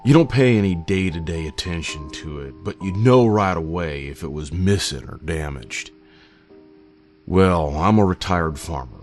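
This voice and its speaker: Old retired farmer, acted by a man, low-pitched, weary and wry, grumbling through a first-person horror tale over music. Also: animated, moderate pace, darkish tone. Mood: wistful, dry. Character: grumpy, weary old widowed retired farmer, self-deprecating.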